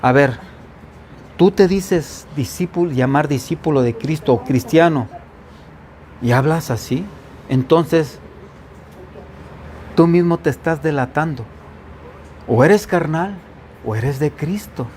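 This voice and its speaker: A man's voice: on the high side, takes it slowly, pauses often, darkish tone, a little hiss or hum. A man from the Veracruz coast preaching as pastor in an informal Bible-study sermon, with a faint Veracruz accent, often asking the congregation questions. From Veracruz coast, Mexico.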